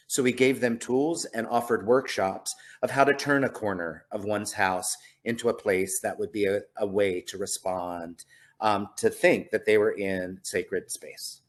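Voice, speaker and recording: Male panelist, low-pitched, earnest. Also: unhurried.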